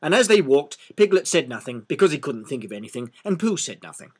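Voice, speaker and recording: A male storyteller reading a children's book expressively. His voice sits a little high for a man, moves with great melodic range and has a husky edge. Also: sing-song, quick pace.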